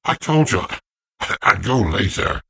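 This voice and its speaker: A stubborn smoker of a scientist, acted out by a man in a radio drama, very husky and full of gravel. He grumbles grudgingly in a low register, recorded dry and close. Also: big pitch swings, measured pace, fairly bright tone, dry recording, full character voice. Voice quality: gravelly, husky, throat-clearing. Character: stubborn, coughing male computer researcher, a heavy smoker.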